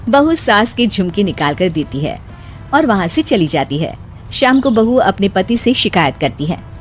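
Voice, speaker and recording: A woman reading scripted narration for an animated moral story. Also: medium pitch, moderate pace, faint music behind.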